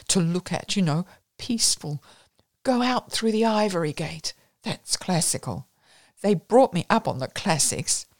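A hoarse, brandy-soaked young man in grief, voiced by a woman reader, rambling in a low, maudlin way. The recording is close and dry. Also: dry recording. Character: grieving, drunk young male poet.

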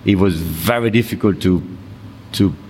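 A man's voice: deep voice, moderate pace, darkish tone. A Colombian man speaking English with a Colombian Spanish accent, chatting easily as an interview guest and laughing often. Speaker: guest, fitness coach. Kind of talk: casual interview chat. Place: gym. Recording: long reverb, faint background noise.

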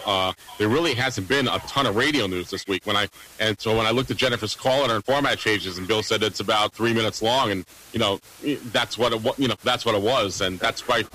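Fast-talking man, bright radio voice. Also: fairly low pitch, slight background noise, poor audio. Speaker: radio talk show host. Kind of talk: casual call-in radio discussion.

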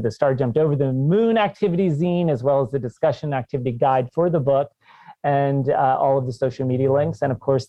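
A man's voice: mid-range voice, dry recording. Cheerful fifty-year-old man, slightly muffled. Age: 50s. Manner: enthusiastic, laughs often. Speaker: guest author. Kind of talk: podcast interview.

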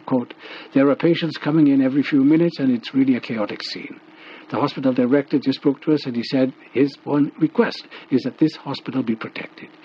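A man's voice: medium pitch, a little hiss or hum. A man reading formal scripted news on the radio. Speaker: radio newsreader. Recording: dull, muffled shortwave radio recording.

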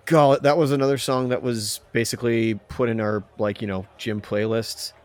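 Casual male podcast co-host, medium pitch, opinionated ranter, clean-mouthed exclamations, loose conversational delivery. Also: fairly even intonation, moderate pace, darkish tone. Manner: ranting, opinionated, mild substitute swearing. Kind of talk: casual music discussion.

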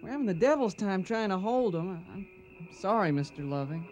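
High-voiced anxious cowhand. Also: male voice, animated, fairly quick, a little mellow, slight background noise. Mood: anxious, apologetic. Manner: hesitant, apologetic. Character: anxious, deferential cowhand on a cattle drive. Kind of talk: western radio drama acting. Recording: dull distant radio broadcast, music behind.

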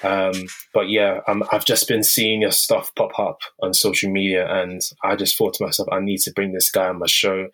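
A man's voice: deep voice, completely flat delivery, moderate pace, hardly any pauses, fairly bright tone, slightly rough, poor audio. A man hosting an interview podcast, chatting casually and encouraging his guest. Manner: friendly, encouraging, laughs often. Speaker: podcast host.

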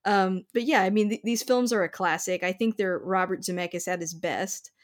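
A woman's voice: mid-range voice, steady tone, moderate pace. Female podcast host, conversational and enthusiastic, mid-range voice, informal film-talk delivery. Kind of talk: casual chat about films.

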